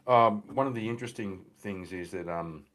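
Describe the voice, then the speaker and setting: A man speaking as a guest in a casual panel discussion, measured and hesitant with frequent ums. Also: fairly low pitch, fairly bright tone.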